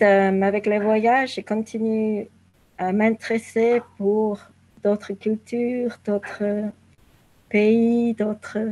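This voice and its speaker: A woman from southern Africa answering interview questions reflectively, halting as she searches for her words. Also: on the high side, a little mellow, low-quality recording. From southern Africa (Zambia and Zimbabwe). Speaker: interview guest, painter.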